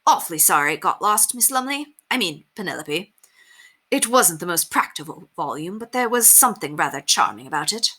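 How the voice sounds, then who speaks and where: A woman's voice: lowish voice, big pitch swings, fairly quick, sharp tone, husky, exaggerated voice. A woman voicing a friendly, sheepish young man with breezy, old-fashioned phrasing in a storybook reading. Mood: friendly, apologetic.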